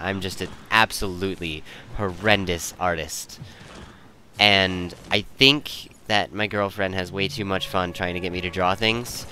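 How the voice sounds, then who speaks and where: A man's voice: fairly low pitch, bright, forceful tone, slight background noise. A young man giving rambling, casual commentary in an easygoing, self-deprecating, digressive way. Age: young adult. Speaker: video creator and commentator.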